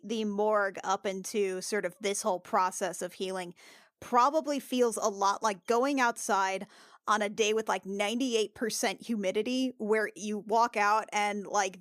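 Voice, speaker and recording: A woman's voice: mid-range voice, no echo, clean studio sound. A woman chatting casually as a player in a tabletop game.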